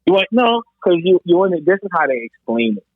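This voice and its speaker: A man's voice: high-pitched, very animated, fast talker, dry recording. A man on a podcast panel in a casual, emphatic debate, swearing freely. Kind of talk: casual hip-hop debate. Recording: thin phone-like line.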